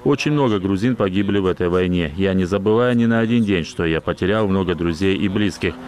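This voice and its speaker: A man reading a translated news voice-over in a measured, even way. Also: low-pitched, a little hiss or hum.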